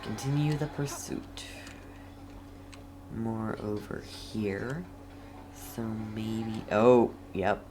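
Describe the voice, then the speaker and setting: A woman with a very low voice comments casually on a game she is streaming. She takes her time and reacts as she goes. Faint noise runs behind her. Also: extremely slow, pauses often.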